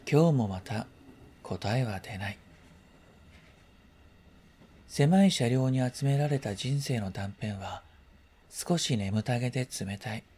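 Mellow-voiced man reading a reflective story. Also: medium pitch, lively intonation, takes it slowly, long pauses, breathy edge. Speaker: story reader. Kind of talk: scripted literary narration, first-person radio drama reading.

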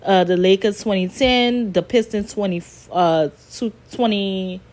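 Slow, chatty woman in her thirties. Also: medium pitch, darkish tone. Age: 30s. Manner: chatty, slow and emphatic, repeats points. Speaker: podcast host. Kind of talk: casual sports talk.

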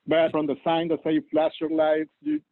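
A man with a Colombian Spanish accent speaks unhurriedly, pausing to find his words in English. His voice comes through a thin, phone-like line with a dry, close sound. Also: a little high, big pitch swings, vocal fry, no room sound. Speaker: church campus leader. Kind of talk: podcast guest interview.

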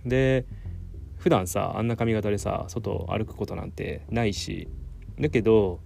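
Guy with a low creaky voice rambling casually, kinda giggly, music playing behind him. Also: normal speed, a little mellow. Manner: giggly, self-amused. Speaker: host of a solo talk show. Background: background music.

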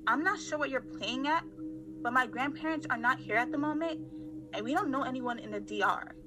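A woman's voice: high-pitched, a little fast, background noise, lightly acted. A woman playing a suspicious, brusque eighteen-year-old girl in an amateur radio play, speaking curtly and sarcastically. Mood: guarded, impatient. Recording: music under the voice.

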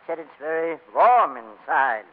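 A man playing a courteous, hesitant and rather fussy doctor in 1940s radio comedy. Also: a little high. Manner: hesitant, courteous, throat-clearing. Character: polite, bewildered doctor, soothing and fussy. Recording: muffled old radio broadcast.

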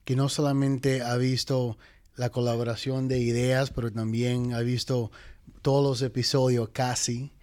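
Dominican man chatting, mid-pitched, dry sound. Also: level tone, measured pace, darkish tone, no room sound. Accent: Dominican Spanish. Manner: code-switches into English. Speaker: podcast co-host as interview guest. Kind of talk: casual chat.

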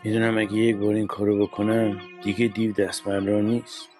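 Man playing a low-voiced, kindly fisherman father. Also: flat delivery, over music, natural voice. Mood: anxious brooding. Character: kind, worried fisherman father standing up to bullies. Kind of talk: children's radio play acting.

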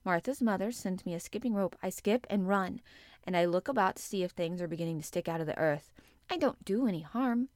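A woman voicing an anxious, earnest young girl in an audiobook, with a halting, timid and stiffly polite delivery. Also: fairly low pitch, a little mellow, dry recording, clean studio sound, natural voice. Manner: halting, tremulous, pleading. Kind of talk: audiobook character acting.

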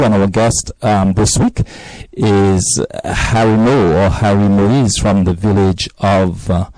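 Low, hesitant male radio host. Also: a little mellow, no echo, poor audio. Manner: hesitant, repeats words while speaking. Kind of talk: live radio interview.

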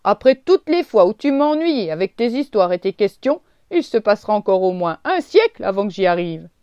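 An old gruff oak tree. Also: female voice, lowish voice, animated, warm tone, no room sound, lo-fi sound. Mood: grumbling. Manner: slow, sad and grumbling.

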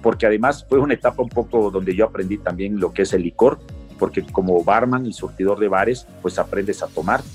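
A man's voice: fairly low pitch, brisk, faint music behind. A middle-aged Mexican man with a Mexican accent, earnestly recounting his life story in an interview.

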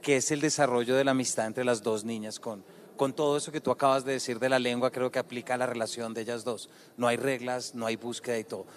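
A man's voice: mid-range voice, flat delivery, fast, a little hiss or hum. A man moderating a literary panel, keeping an eye on the time as he draws the authors into conversation. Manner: prompting and time-conscious.

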